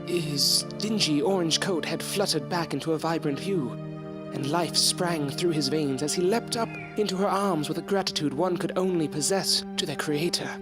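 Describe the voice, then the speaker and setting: A man's voice: fairly high pitch, normal speed, close to an everyday voice. Man playing an earnest young Gothic student, music behind. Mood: solemn awe. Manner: formal, reverent storytelling. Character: earnest, devoted young male medical student and assistant. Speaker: actor narrating and playing a character. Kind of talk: Gothic audio drama narration.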